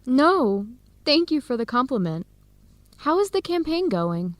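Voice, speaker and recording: A friendly, open-minded young researcher with an American accent in a radio drama for learners. Her voice sits a bit high. The recording is close and dry. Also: very animated, a little slow, dry recording. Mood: upbeat, chatty. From United States. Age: young adult.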